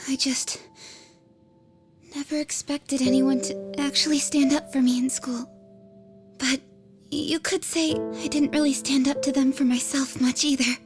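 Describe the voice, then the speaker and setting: A high, youthful voice of a bullied schoolgirl in a video game drama. She is hesitant and earnest, with instrumental music clearly behind her. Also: little pitch movement, unhurried. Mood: hesitant, wondering. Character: shy, bullied teenage schoolgirl, earnest and vulnerable. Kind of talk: English video game dub acting. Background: instrumental background music.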